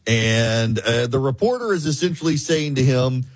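A man's voice: mid-range voice, lively intonation, moderate pace, dry recording. A man from the American South hosting an opinionated political talk radio show, sarcastic and mocking.

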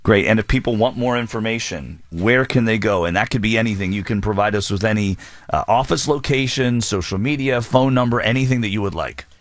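A male radio host with a lowish voice leads the interview with polite, prompting questions. The recording is dry and close, without room echo. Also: brisk.

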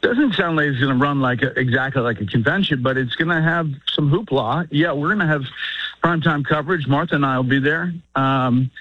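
A man appearing as a guest news anchor, giving measured political analysis and thinking aloud with many fillers. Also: a little high, a bit bright, slightly rough, no room sound, highs cut off. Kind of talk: political analysis on talk radio.

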